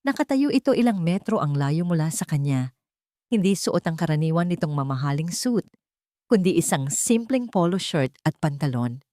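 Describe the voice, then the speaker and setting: A woman's voice: lowish voice, very animated, normal speed, warm tone, no echo, clean studio sound. A woman with a Filipino accent reading a scripted romance story as a dramatic narrator.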